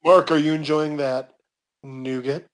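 A man's voice: on the high side, fairly even intonation, unhurried. A man co-hosting a podcast in casual comic banter. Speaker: podcast co-host.

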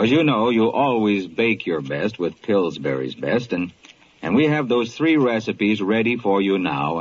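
An American man with a lowish voice delivers an old-time radio commercial straight to the listener. The recording is muffled, with faint noise. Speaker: radio presenter reading sponsor message. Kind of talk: 1940s-50s radio commercial, talking directly to listeners.

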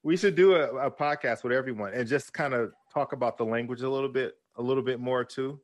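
A man's voice: medium pitch, a little fast. A man co-hosting a podcast in casual chat, eager and playful, laughing often. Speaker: podcast co-host.

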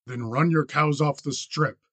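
A man voicing an angry, cold young cattle rancher in an audiobook western, flat, clipped and challenging. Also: on the high side, measured pace, husky, no echo. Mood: cold warning.

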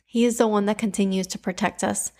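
A woman's voice: medium pitch, fairly even intonation, quick pace, warm tone. A young woman with a Cuban accent hosting a devotional podcast, teaching scripture and leading prayer in an earnest, emphatic way.

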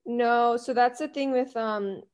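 A young woman from Miami with her own unusual, faintly Miami-tinged accent, a bubbly and animated guest in a casual interview. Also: fairly high pitch, a little slow. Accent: her own unusual accent, slightly Miami. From Miami, Florida. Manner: bubbly, animated, laughs often.

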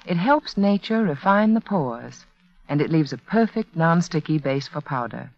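A woman's voice: sits low, expressive, moderate pace, no room sound. A woman spokeswoman reading an instructive, emphatic 1940s radio advertisement. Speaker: beauty authority, sponsor spokeswoman. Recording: muffled old 1940s radio broadcast.

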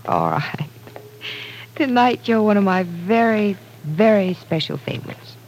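An actress playing a gentle, tactful young woman being courted in an old-time radio drama, speaking in a soft, hesitant, kindly way. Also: medium pitch, animated, a little slow. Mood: fond. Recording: noisy vintage radio recording.

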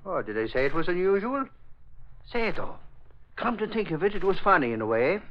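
Brash higher-voiced Irish newspaperman, muffled old radio. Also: very animated, fairly quick. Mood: chatty. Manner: folksy, blustering, ungrammatical, repeats himself. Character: brash, talkative Irish male newspaper reporter, comically dim. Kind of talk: old-time radio drama acting.

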